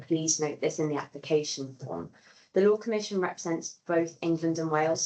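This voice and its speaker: A woman presenting in a webinar with a clear, scripted delivery. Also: low-pitched, poor audio.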